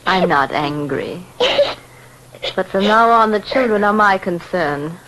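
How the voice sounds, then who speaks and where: A woman's voice: medium pitch, expressive, fairly bright tone. A woman playing a cold, sweetly domineering stepmother in a theatrical 1940s radio horror drama. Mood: cold, menacing. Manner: slow, deliberate, theatrical. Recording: noisy, crackly old radio recording.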